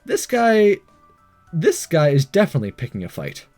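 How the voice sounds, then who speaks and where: A man reads a visual novel aloud as a let's player, stumbling now and then and slipping in sarcastic asides. His voice is fairly high and mellow, with very lively intonation. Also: normal speed, faint music behind. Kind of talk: reading visual novel aloud with casual commentary.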